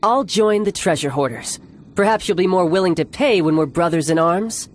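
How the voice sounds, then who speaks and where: An actor playing a cocky, smooth-talking young man in a video game, theatrical and playfully condescending. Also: sits high, moderate pace, a little hiss or hum, natural voice. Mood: confident, playful. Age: young adult. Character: cocky, smooth-talking young male fighter posing as salesman. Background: game music.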